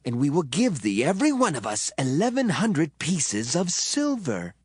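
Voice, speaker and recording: An actor playing a scheming, bribing ancient lord in a Bible audio drama, with a husky, raspy voice. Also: male voice, a little high, huge pitch swings, moderate pace, dry recording, clean studio sound, exaggerated voice. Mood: sly, persuasive. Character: scheming Philistine lord, sly briber.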